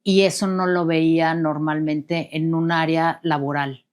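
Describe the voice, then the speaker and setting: Woman guest, earnest and warm about her partner, lowish voice. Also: little pitch movement, unhurried, clear, resonant tone. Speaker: guest, co-author. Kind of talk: conversational interview answers.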